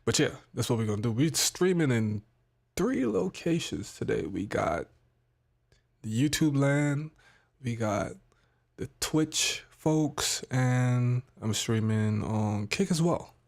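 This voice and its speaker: Chill guy on a livestream with a bit of a cold, kinda nasal, takes his time, mid-pitched voice. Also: lively intonation, vocal fry, a little mellow. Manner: laid-back, chatty, pauses to fiddle with gear. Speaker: tech streamer. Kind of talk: casual live stream walkthrough. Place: home streaming setup, indoors. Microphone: studio mic on desk.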